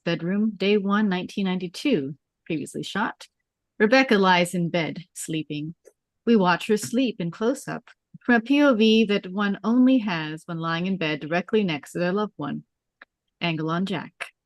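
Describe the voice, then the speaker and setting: A woman's voice: medium pitch, no room sound. A woman taking part in an online table read, speaking plainly as a participant and reader. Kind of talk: casual introduction and scripted narration.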